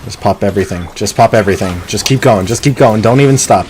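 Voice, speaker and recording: A man streaming a video game live, chatting casually and muttering to himself between bursts of excitement. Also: lowish voice, quick pace, faint music behind. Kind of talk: live gameplay commentary.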